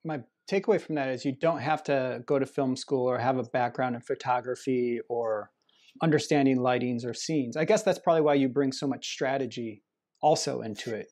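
A man's voice: mid-range voice, a little fast, a little mellow. A man hosting a podcast, introducing and interviewing in a conversational way. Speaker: podcast host.

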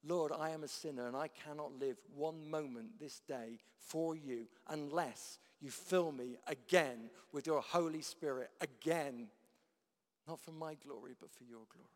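A man preaching a sermon, swinging from loud declarations down to hushed, quiet lines. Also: fairly high pitch, measured pace. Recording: long hall reverb.

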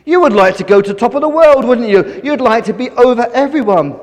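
A man's voice: very high voice, animated, brisk, pitched above the performer's own voice. A man playing a cheery, grand storybook superhero. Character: boastful heroic male Superman in a story. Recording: echoey hall.

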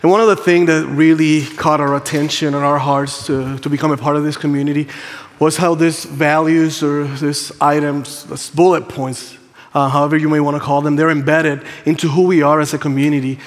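A man's voice: on the high side, little pitch movement, moderate pace. A man with a Puerto Rican Spanish accent, a campus pastor giving an enthusiastic church update with frequent ums. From Puerto Rico. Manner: warm, enthusiastic, with frequent ums and playful asides. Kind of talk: church announcement. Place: church sanctuary. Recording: long hall reverb.

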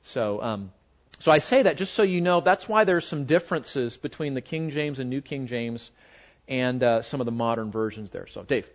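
A man's voice: medium pitch, expressive. Male American Bible teacher, mid-range voice, conversational and discursive, lecturing informally on a muffled recording. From United States. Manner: conversational, halting, with asides. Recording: dull, muffled lo-fi audio.

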